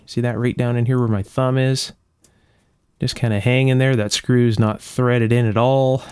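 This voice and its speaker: A man's voice: mid-range voice, clean tone, dry recording, studio quality. A man giving casual hands-on repair narration, explaining carefully step by step as he hosts. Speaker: electronics restorer and video host. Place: indoor electronics workshop at a bench.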